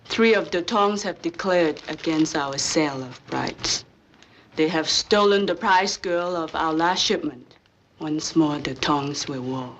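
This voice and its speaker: An actress playing a cool, stern go-between for a crime boss in an old film, husky breathy voice and clipped, formal broken English. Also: female voice, deep voice, unhurried, slight background noise. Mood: grave, guarded.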